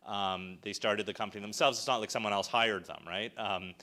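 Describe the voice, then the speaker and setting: A man's voice: lowish voice, steady tone, brisk, sharp tone. A man on a panel answering audience questions about his research, thinking aloud with many fillers and restarts.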